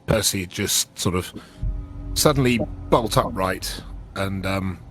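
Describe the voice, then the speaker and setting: A man's voice: deep voice, slow, fairly bright tone, faint music behind. A man narrating his character's actions casually as a player in a tabletop roleplaying game. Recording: clear background noise.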